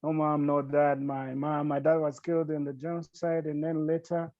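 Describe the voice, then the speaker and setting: A man from Rwanda, speaking earnestly and gratefully as a podcast guest, with halting restarts. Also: on the high side, little pitch movement, dry recording, lo-fi sound. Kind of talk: podcast interview.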